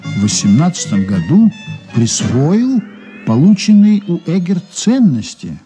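Soviet radio drama detective, soft dark husky voice, polite but probing, really expressive pitch. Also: male voice, measured pace, faint music behind. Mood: measured, polite. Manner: polite, questioning, hesitant probing. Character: polite, probing male investigator, patient and wily. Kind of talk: Soviet radio play acting, 1980s.

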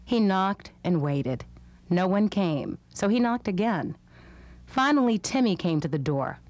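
A woman's voice: medium pitch, animated, unhurried, darkish tone, no echo. A woman reading scripted narration for a children's storybook. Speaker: storybook reader.

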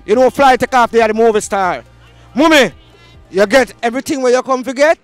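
A young Jamaican man hosting a street market vox pop outdoors with a handheld mic, hyped and shouting slogans in Patois banter. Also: very high-pitched, brisk, clear, resonant tone, faint music behind.

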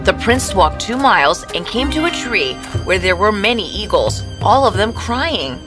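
A woman reads a scripted fairy tale as the storyteller. Her mid-range voice has lively, varied intonation, and music plays prominently behind it. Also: mid-range voice, normal speed. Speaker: storyteller, narrator. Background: prominent background music.

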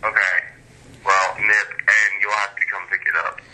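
A man's voice: fairly low pitch, monotone, measured pace, bright, forceful tone, rough recording. A teenage male chatting casually through a phone speaker, picked up by a camera mic. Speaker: call recipient playing along. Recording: buzzy speakerphone call, faint noise.

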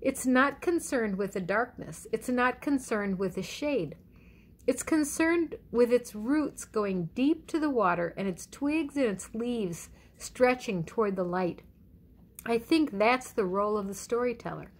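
A middle-aged woman pastor giving a conversational sermon at home in a measured, reflective way with deliberate pauses. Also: on the high side, expressive. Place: indoors in a living room at home. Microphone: phone or webcam at arm's length.

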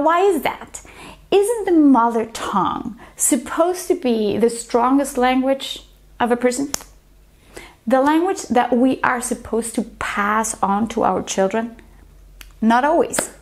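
A woman, a teacher explaining language topics to camera in an earnest, step-by-step way, recorded with a lapel mic in a small bright living room. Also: fairly high pitch. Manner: earnest, explains step by step, restarts when telling anecdotes. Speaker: teacher and channel host. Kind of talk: educational talk to camera.

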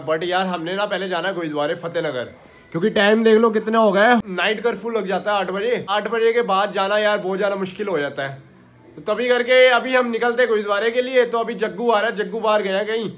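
A man's voice: sits high, fairly bright tone. A young man in his twenties chatting to his phone camera at home as a vlogger, narrating his day, with a slightly sore throat. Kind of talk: casual vlog chat. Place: small room at home. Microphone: phone held at arm's length.

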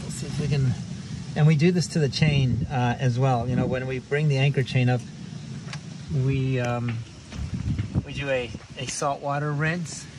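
A man's voice: medium pitch, normal speed. Middle-aged guy rambling thru a boat chore, ums everywhere, wind noise on camera audio outdoors. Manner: relaxed, chatty, many ums and restarts. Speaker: sailing vlog host. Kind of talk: casual how-to explanation. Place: outdoors on a catamaran deck at a marina. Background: wind and harbor noise.

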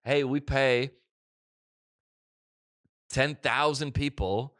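A man's voice: mid-range voice, fairly even intonation, very slow, a bit bright, no room sound. Rambling man host in a dry studio. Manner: rambling, restarts, acts out voices in stories. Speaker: podcast host. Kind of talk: casual chat. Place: small studio with dark curtains. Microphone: studio mic on desk stand, headphones.

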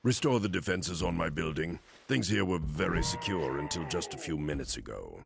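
An actor playing a huge, smug crime boss who talks slowly and condescendingly, with a deep gravelly voice. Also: male voice, expressive, background noise, exaggerated voice. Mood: smug, menacing. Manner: slow, measured, condescending. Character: menacing crime boss, huge bald man, calm and smug. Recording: game cutscene with loud music.

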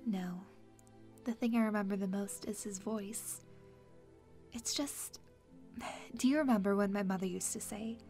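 A woman's voice: mid-range voice, measured pace, long pauses, dark tone, soft background music, lightly acted. A woman playing a kind, earnest young princess in an audio drama. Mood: wistful, earnest.

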